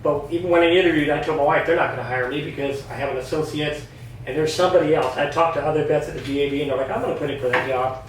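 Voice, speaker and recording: A man's voice: on the high side, rapid-fire, slight background noise. A middle-aged man, a retired Army veteran, telling his story in an earnest, candid way as a podcast guest. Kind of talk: casual personal story. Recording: echoey room, mic at a distance.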